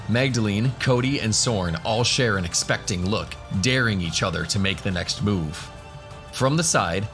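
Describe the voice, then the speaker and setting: A man's voice: fairly low pitch, fairly bright tone. A man giving scripted narration for a fantasy audio drama. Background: music.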